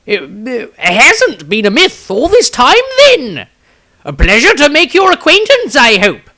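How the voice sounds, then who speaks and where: A man's voice: very high-pitched, bright, forceful tone, voice pushed up, heavily acted. A man voicing a flustered, self-important older wizard in a storybook reading, stammering and theatrical.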